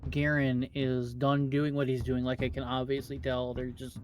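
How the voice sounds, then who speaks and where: A man's voice: mid-range voice, completely flat delivery, normal speed, soft background music. A man playing in a tabletop game, talking casually and hesitantly about his character. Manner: hesitant, with fillers and laughs. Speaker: player.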